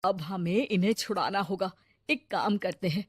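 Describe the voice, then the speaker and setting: A woman's voice: mid-range voice, moderate pace, breathy edge, dry recording, clean studio sound, close to an everyday voice. A woman voicing a concerned village headman in a children's cartoon, questioning and giving practical instructions. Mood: urgent.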